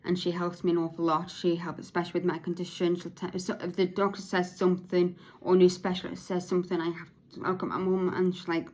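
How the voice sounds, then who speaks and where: Woman, lowish voice, halting speech with restarts, at times monotone. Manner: says her voice is sometimes monotone; halting, with frequent restarts and repeated words. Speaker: podcast host.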